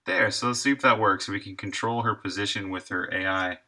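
A man's voice: fairly low pitch, fairly quick, sharp tone. A man in his thirties livestreaming game development, casually thinking aloud in short, muttered thoughts as he works.

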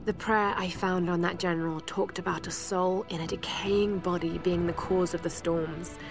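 An actress playing a shaken but determined young adventurer, narrating her survival journal in a game with a breathy voice that often drops toward a whisper. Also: on the low side, steady tone, normal speed. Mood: worried, reflective. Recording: music behind the voice.

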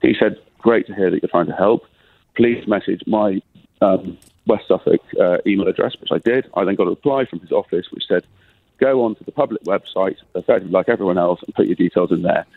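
A man's voice: low voice, a little fast, dry recording. A British man calling a radio phone-in, insistently defending himself and pressing to finish his point. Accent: British English. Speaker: caller. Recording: tinny phone line.

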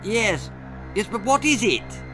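A man voicing a spoilt, excitable little girl in a storybook, insistent and childish, with an English accent. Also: high-pitched, slow, over music, pitched above the performer's own voice. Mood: excited, insistent.

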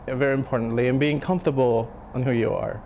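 A man in his thirties, a restaurant chef, speaking in a sit-down interview, thoughtful and halting with many fillers and restarts. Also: medium pitch, fairly even intonation, a little mellow, slight background noise. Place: dim restaurant dining room. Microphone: lapel mic.

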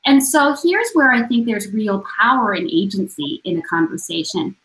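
A woman's voice: mid-range voice, expressive, moderate pace, a bit bright. A woman in her forties, an oral historian speaking on a video call panel, earnest and reflective as she lays out her points one by one. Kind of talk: conference panel remarks. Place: room lined with bookshelves. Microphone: video call webcam. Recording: roomy echo.

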